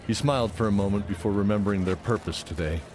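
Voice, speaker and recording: A man's voice: lowish voice, fast talker. A man giving scripted, dramatic narration for a fantasy audio drama.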